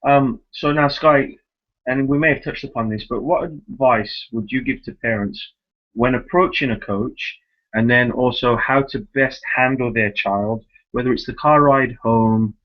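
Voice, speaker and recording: A man hosting a conversational interview on a conference call, talking emphatically and often checking for agreement. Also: mid-range voice. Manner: emphatic, repeats words and seeks agreement with tag questions. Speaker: host, youth soccer club director. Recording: muffled, lo-fi conference call line.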